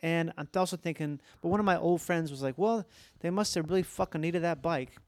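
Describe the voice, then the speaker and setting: A man's voice: a little high, fairly quick, darkish tone, dry recording. A man chatting casually as a podcast host, informal and reflective, swearing freely. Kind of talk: casual chat.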